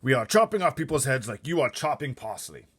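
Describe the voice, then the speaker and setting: A man puts on a blunt storybook sultan, loud and raised in pitch. The delivery is formal and grave, declaimed with a husky edge. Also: very animated, a little fast. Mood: blunt, pompous. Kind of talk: storybook read-aloud.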